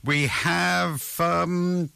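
A man's voice: high-pitched, fairly even intonation, drawn-out words, gravelly, sharp tone, clean tone, dry recording. An older man hosting a community radio show, chatty and jokey. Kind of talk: casual radio presenting. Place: radio studio.

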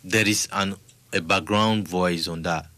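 A Nigerian musician telling stories in a relaxed, rambling way during a casual interview. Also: male voice, deep voice, a little slow, no room sound. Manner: relaxed, rambling storytelling with restarts. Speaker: musician guest.

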